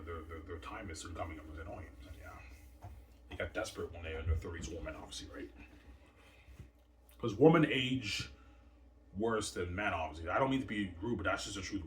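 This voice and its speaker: A man's voice: deep voice, fairly bright tone. A man in his thirties rambling boastfully in a casual monologue, tagging "right?" and "obviously" onto his points. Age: 30s. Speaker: channel host. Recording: distant mic with faint noise.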